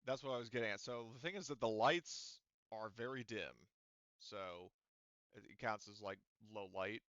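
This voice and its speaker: A man with a low, smooth voice runs a tabletop roleplaying game, describing scenes and rules with frequent ums and restarts. The recording is dry and somewhat dull, from a home mic. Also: normal speed, fairly bright tone, no room sound. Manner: hesitant, filler-heavy explaining. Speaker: game master.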